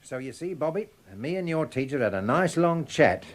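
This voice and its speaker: Genial London working-class dad. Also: male voice, on the high side, animated, normal speed. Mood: gentle, serious. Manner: kindly, chuckling banter. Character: genial, down-to-earth London working-class father, gently joking. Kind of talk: 1950s British radio comedy acting.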